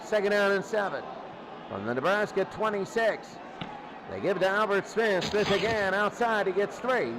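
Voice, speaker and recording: High-pitched male football announcer over loud noise. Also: moderate pace. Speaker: play-by-play sports announcer. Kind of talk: American football radio commentary. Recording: loud steady noise behind the voice.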